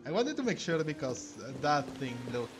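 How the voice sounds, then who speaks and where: A man doing casual gameplay commentary and reading dialogue aloud, with a hesitant, halting delivery. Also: on the high side, very animated. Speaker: gamer playing through a story game and reading out dialogue. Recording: music playing behind the voice. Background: game music.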